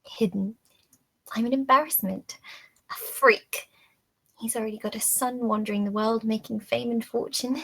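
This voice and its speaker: A woman's voice: fairly high pitch, steady tone, normal speed, gravelly, a bit bright, breathy edge, full character voice, poor audio. A woman playing a bitter, lonely, sickly captive in a period screenplay read, confiding and resigned. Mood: bitter, wounded.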